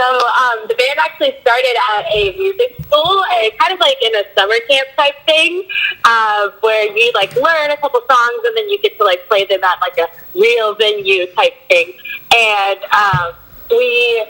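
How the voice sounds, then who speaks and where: A young woman in a band, a bit hoarse from a cold, answering interview questions in a loose way with lots of fillers. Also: a little high, pushed and bright, rough recording. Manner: loose, filler-heavy talk with frequent likes and ums. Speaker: band member.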